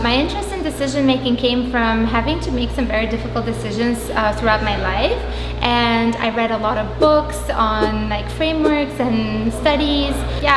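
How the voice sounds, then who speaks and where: A woman's voice: a little high, echoey room, long reverb tail, low-quality recording. A young woman from Albania with an Albanian accent, a guest explaining her ideas in a casual interview, talking thoughtfully with many ums and uhs. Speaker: guest, app founder.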